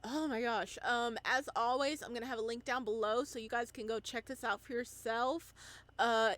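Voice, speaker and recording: A woman giving excited, casual commentary as she hosts a video game playthrough, gushing and full of exclamations. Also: on the high side, normal speed.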